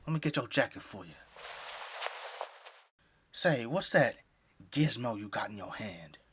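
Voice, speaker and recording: A man's voice: medium pitch, animated, a little slow, a bit bright. An actor playing a friendly, folksy middle-aged family man with an American Southern accent in an audio drama. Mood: jovial, welcoming. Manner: effusive, folksy, hospitable.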